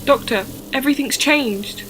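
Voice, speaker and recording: A woman's voice: high-pitched, normal speed, a little hiss or hum, natural voice, low-quality recording. A woman in a fan audio drama playing a bewildered, questioning young companion of a time traveller. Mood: anxious.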